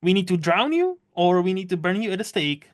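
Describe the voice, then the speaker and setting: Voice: mid-range voice, sing-song, brisk. A podcast host, not originally from Scotland, chatting casually and often laughing, with many "like"s. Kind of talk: casual conversation.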